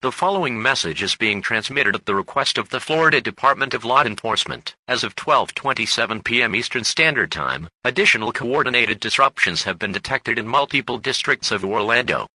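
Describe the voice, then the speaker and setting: A man's voice: medium pitch, expressive, a little fast, hardly any pauses, sharp tone, no room sound, low-quality recording. A man reading a scripted emergency broadcast announcement in a formal, impersonal manner.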